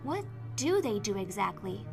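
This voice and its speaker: A woman's voice: medium pitch, very animated, normal speed, gravelly. A woman playing an earnest, anxious young female pony student in an audio drama, with a questioning manner. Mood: curious, uneasy. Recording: music behind the voice.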